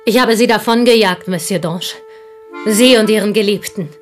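A woman's voice: medium pitch, normal speed, faint music behind, natural voice. Actress as intense, bitter village schoolteacher woman. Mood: indignant, hurt. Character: bitter, confessing French village schoolteacher, woman, jealous confidante. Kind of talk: intimate radio play acting in German.

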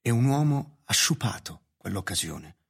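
An intense scientist in a radio drama. His husky, medium-pitched voice carries bitterness. He sounds like a man devoted to his research. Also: big pitch swings, normal speed, heavily acted. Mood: intense, bitter. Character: intense, embittered male researcher. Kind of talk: radio play acting.

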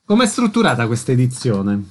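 A man's voice: mid-range voice, big pitch swings, a little fast, a little mellow. A man hosting a radio arts programme, reading introductions and putting questions to guests. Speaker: radio host, interviewer. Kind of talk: radio interview, scripted introductions.

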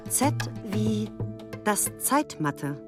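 An actress voicing a deliberate announcer of alphabet entries in a literary radio play. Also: female voice, mid-range voice, sing-song, music in the background.

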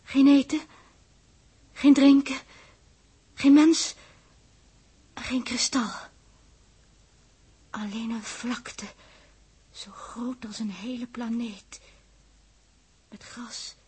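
An actress playing a wistful young woman space traveller who sees visions, in a breathy, slow, dramatic 1960s Dutch radio drama style. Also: a little high, steady tone, warm tone, heavily acted. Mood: entranced, wistful.

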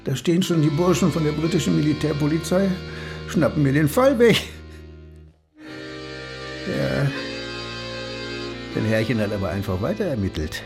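Sardonic veteran police detective, higher-voiced man. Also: big pitch swings, normal speed, vocal fry, pauses often, over music. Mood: wry, musing. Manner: wry, colloquial, commanding. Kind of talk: radio crime drama acting.

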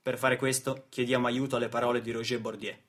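A man narrating a historical radio theatre piece in a deliberate, declamatory way. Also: medium pitch, level tone, slight background noise, lightly acted. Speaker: stage narrator and actor.

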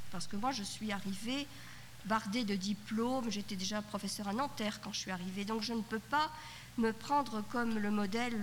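Middle-aged woman, halting, at a conference. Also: on the high side, fairly bright tone. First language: Yiddish. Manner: thoughtful, halting, many restarts and fillers. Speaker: panelist at a literature conference roundtable. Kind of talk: spoken reflection at a conference panel. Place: conference hall. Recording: 1990s cassette tape in a hall, faint hiss.

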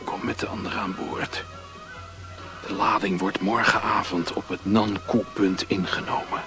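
An actor playing a stern, secretive smuggler boss in a radio drama, giving urgent, hushed orders. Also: male voice, on the low side, flat delivery, normal speed, over music, natural voice. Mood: hushed, commanding.

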